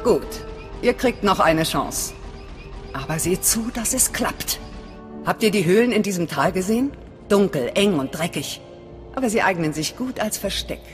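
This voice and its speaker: A woman's voice: mid-range voice, animated, normal speed, long reverb tail, faint music behind, natural voice. An actress playing a hard, suspicious female resistance leader in a video game, curt and commanding. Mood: stern, impatient. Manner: stern, clipped, commanding. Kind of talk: dramatic video game acting.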